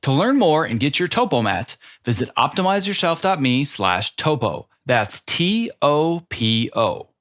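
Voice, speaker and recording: A man's voice: on the high side, sing-song, measured pace, few pauses, a bit bright, dry recording, studio quality. A man hosting a podcast, reading a sponsor spot and chatting eagerly with his guest. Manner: enthusiastic, chatty, with fillers. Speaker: podcast host. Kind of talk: podcast interview and sponsor read.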